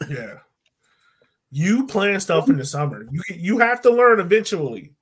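Higher-pitched man, slangy podcast co-host. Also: big pitch swings, unhurried, fairly bright tone, husky. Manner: slangy, informal. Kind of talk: casual fan chat. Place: indoor room at home, on a video call.

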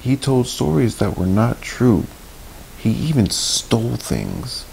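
A man in his thirties reading a children's storybook aloud, deliberately and expressively, with friendly asides. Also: medium pitch, very animated, vocal fry, a little mellow, rough recording. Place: small indoor room, seated on a couch. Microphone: clip-on lapel mic. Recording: faint background hiss.

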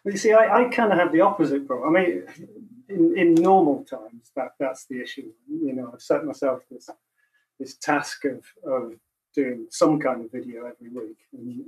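Quiet soft-spoken man on a distant mic. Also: mid-range voice, moderate pace. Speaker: guest musician. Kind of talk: casual chat over video call. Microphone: video call mic.

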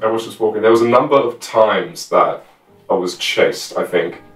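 A young man with a low voice recounts things casually and haltingly. He sits a little away from the microphone in a roomy space. Faint music plays underneath. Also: a bit bright, recorded from a distance. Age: 20s. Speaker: guest, fellow live roleplayer. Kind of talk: casual chat, recounting a scene. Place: small room at home with costumes.

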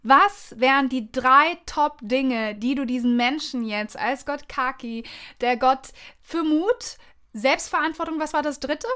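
A woman's voice: sits high, measured pace, bright, forceful tone, smooth. A woman hosting a podcast interview in an effusive, encouraging manner. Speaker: podcast host.

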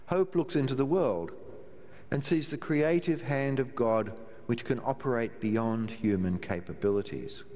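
An older man, a minister, giving a measured sermon from the pulpit of a large church, with a wry touch and slow, emphatic pauses. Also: medium pitch, a little mellow. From Australia. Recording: long church reverb, faint room hiss.

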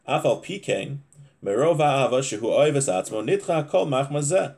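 Man teaching a religious text, halting and explanatory. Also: mid-range voice, expressive, darkish tone. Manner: halting, with repeated phrases and rephrasing. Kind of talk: informal lesson, reading Hebrew aloud and explaining in English. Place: small home room, indoors.

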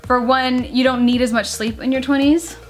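A woman's voice: sits high, steady tone, moderate pace, soft background music. A woman in her twenties, a vlogger chatting earnestly on camera from her living room couch, with lots of fillers. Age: 20s. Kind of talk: casual couple chat on camera. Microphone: camera mic at a few feet.

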